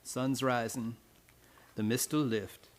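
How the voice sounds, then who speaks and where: A man plays a laconic boatman from an old-time radio drama. He is cryptic and evasive, giving terse, matter-of-fact answers at a middling pitch. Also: fairly even intonation, takes it slowly, natural voice. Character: cryptic, laconic male boatman rowing a young heiress.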